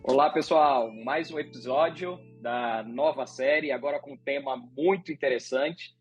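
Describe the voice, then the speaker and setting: A man's voice: medium pitch, moderate pace, soft background music, low-quality recording. A man co-hosting, giving a short, upbeat introduction to a new episode. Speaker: co-host. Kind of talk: show introduction.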